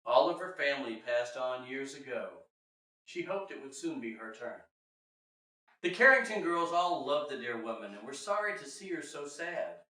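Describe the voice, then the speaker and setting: A bright-voiced man narrates a rhyming children's story from a distance in a very reverberant room. His pitch swings widely, and his delivery is theatrical and sing-song. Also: animated, moderate pace. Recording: very echoey room, distant mic.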